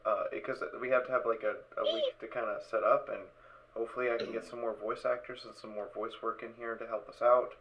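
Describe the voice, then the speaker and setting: A man's voice: medium pitch, little pitch movement, a little fast, a bit bright. A man hosting an online dramatic reading, chatting casually and somewhat hesitantly as he makes rambling announcements to the group. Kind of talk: casual livestream chat after a show. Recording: muffled, noisy online voice-chat stream.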